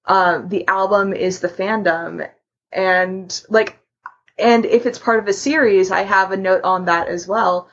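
A woman in casual podcast conversation, explaining her method step by step in a halting way. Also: mid-range voice, fairly even intonation. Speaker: podcast panelist. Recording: muffled lo-fi voice recording.

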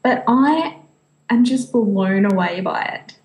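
A woman chats casually as a podcast co-host. Her voice is mid-pitched for a woman and moves up and down a lot, giving her a very animated delivery. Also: takes it slowly, echoey room, low-quality recording. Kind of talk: casual chat.

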